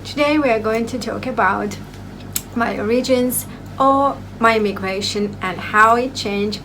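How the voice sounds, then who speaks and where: A woman's voice: on the high side, unhurried, fairly bright tone, a little hiss or hum, poor audio. A young woman from Azerbaijan telling her own story to camera in a playful, self-mocking way, with non-native English phrasing. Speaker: vlogger. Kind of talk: casual personal storytelling to camera.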